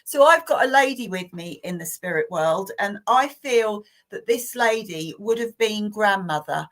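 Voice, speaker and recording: A woman talks slowly and gently as a medium on a live stream. She speaks in a halting, reassuring way, full of ums and restarts, at an ordinary middle pitch. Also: expressive. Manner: hesitant and repetitive, many fillers and restarts. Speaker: medium giving live readings. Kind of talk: live-stream psychic reading.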